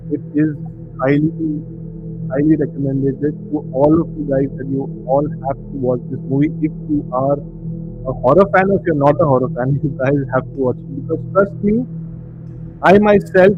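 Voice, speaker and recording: A man hosting a casual livestream movie discussion, speaking conversationally and repeating phrases for emphasis. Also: fairly high pitch. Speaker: host of a horror discussion livestream. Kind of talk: casual movie review chat. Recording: muffled audio with clear background music. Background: music bed under the voice.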